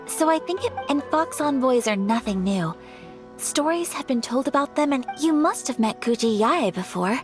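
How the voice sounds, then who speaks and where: A gentle, well-bred young lady from a video game. The voice is high and polite, with formal, measured phrasing and a sincere, gracious manner. Faint music plays behind. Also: animated. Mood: thoughtful.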